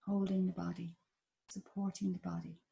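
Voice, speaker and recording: A woman with an Irish accent leading a guided body scan meditation in a slow, soft, soothing manner. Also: on the low side, little pitch movement, gravelly, poor audio. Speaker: meditation teacher.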